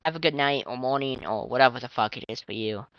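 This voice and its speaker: A young man with a high, strained and tired voice, rambling casually as a fan commentator with restarts and swearing. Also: quick pace, no room sound. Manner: chatty, swearing, trailing restarts. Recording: dull lo-fi dry mic.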